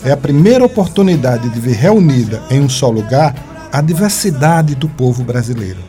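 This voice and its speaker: Man, news narration, lively pitch, mellow dark tone, soft music underneath. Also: moderate pace.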